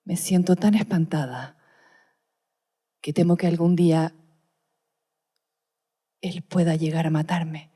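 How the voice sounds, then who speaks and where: A woman playing a frightened, defiant young wife in a radio play, measured and haunted. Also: lowish voice, steady tone, warm tone, slightly rough. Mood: fearful, haunted. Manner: measured, dramatic, haunted delivery. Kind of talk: Spanish-language radio play, diary monologue.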